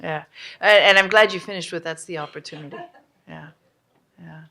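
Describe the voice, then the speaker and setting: A woman's voice: fairly low pitch, normal speed, bright, forceful tone. A woman moderating a live audience discussion at a conference, energetically prompting the room for takeaways. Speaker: summit facilitator.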